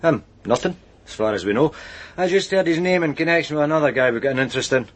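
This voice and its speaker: A hard-nosed police detective in a radio crime drama. His voice sits a little above average for a male, and he talks bluntly, with wry understatement and quiet pressure. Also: fairly high pitch, expressive, fast talker. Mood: pressing. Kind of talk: naturalistic radio drama acting.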